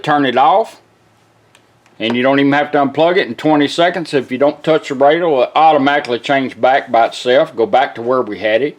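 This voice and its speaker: A man giving a casual, plain-spoken hands-on product demonstration in an informal way. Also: mid-range voice. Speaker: car radio restorer demonstrating product.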